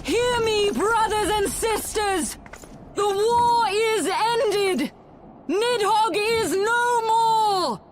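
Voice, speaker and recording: An actress playing a noble, resolute elven rebel leader in a fantasy video game, speaking in formal, oratorical phrases. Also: female voice, very high voice, extremely slow, noisy background, heavily acted. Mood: rousing, urgent.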